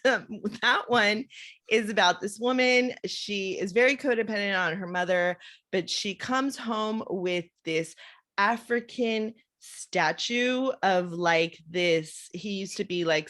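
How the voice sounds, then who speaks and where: A middle-aged woman hosts a podcast in a loose, chatty way, full of fillers and little restarts. Her voice sits a bit low, and she tells stories with lively enthusiasm. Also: unhurried. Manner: chatty, animated storytelling with frequent fillers and laughs. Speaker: podcast host. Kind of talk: casual interview chat.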